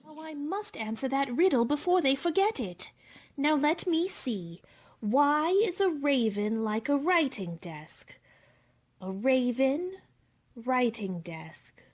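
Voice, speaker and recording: A prim, curious young girl, played by a woman, with a high voice and lively pitch. She speaks precisely and slowly, in radio-play style. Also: heavily acted. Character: prim, curious, polite but scolding girl.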